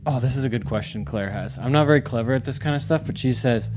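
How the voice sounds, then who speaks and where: Male interviewer, average pitch. Conversational, unscripted, slightly rambling podcast delivery. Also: fast, darkish tone, a little hiss or hum. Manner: informal, rambling questions. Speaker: podcast host, former bull rider. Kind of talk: casual interview chat.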